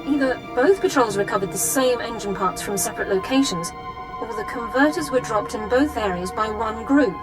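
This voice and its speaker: A woman's voice: fairly high pitch, normal speed, close to an everyday voice, rough recording. Actress doing strict army sergeant lady, very by the book, game dialogue with music behind. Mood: brisk, analytical. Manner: formal, regimented, clipped military phrasing. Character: crisp, by-the-book female military sergeant, formal and dutiful. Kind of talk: video game character acting.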